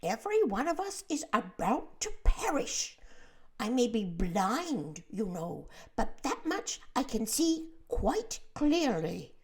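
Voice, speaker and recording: A woman voicing a gloomy, complaining blind male earthworm who draws out his words slowly and sounds doomed. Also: on the high side, big pitch swings, darkish tone, voice pushed up, heavily acted. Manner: slow, drawn-out and doom-laden.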